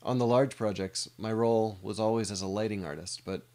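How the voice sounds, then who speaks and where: A man's voice: fairly low pitch, steady tone, a little fast, warm tone. A middle-aged American man, an animator, giving a scripted presentation of a project.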